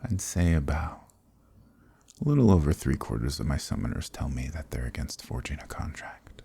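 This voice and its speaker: A man playing an ancient, smug, dominant archdemon in a dark fantasy ASMR roleplay, speaking slowly and deliberately with a condescending, commanding manner. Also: very animated, dry recording, exaggerated voice. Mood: condescending, menacing. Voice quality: very deep, gravelly, husky, breathy.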